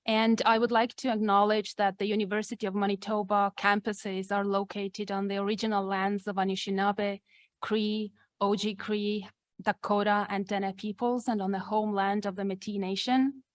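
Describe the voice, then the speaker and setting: A woman academic introducing herself on a panel in a measured, formal manner. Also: medium pitch, level tone, no echo, clean studio sound. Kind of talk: prepared panel self-introduction.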